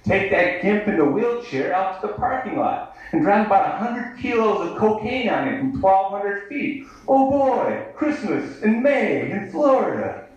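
A sardonic American man performs a poem at a live bar reading, declaiming it at an unhurried pace. His voice sits fairly high for a man, and it comes through very echoey, some way from the microphone. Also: sing-song, lo-fi sound. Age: 30s. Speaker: poet. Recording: echoey live bar recording, distant mic.

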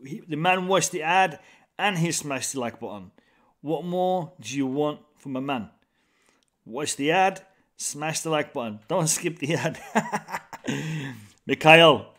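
A man's voice: fairly high pitch, takes it slowly, pauses often, fairly bright tone. A man, hosting a casual live stream from a small home room into a studio mic, upbeat and greeting viewers by name over and over. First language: Arabic. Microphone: large studio mic on a boom, headphones.

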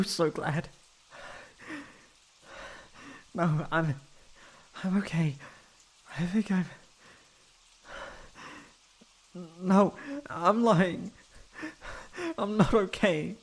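A man's voice: high voice, exaggerated voice. A man voicing a proud but insecure, emotional tsundere catboy in an ASMR roleplay, with hesitant, stammering speech. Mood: distraught, tearful.

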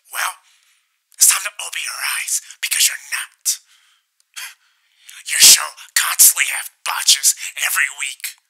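Guy doing a gruff older wrestling boss, super gravelly n harsh, blustering parody phone rant. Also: a little high, bright, forceful tone, full character voice. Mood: indignant. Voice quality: hoarse, raspy growl. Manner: blustering, dismissive. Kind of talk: parody phone argument acting.

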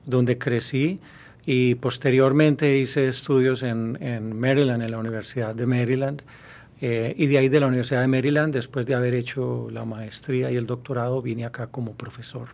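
A man in his fifties with a Colombian Spanish accent, a university professor answering interview questions, pausing often with hesitations and restarts, recorded on a studio mic. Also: medium pitch, little pitch movement, gravelly, a little mellow. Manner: thoughtful, many hesitations and restarts. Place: small studio with blue backdrop. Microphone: studio mic on boom arm.

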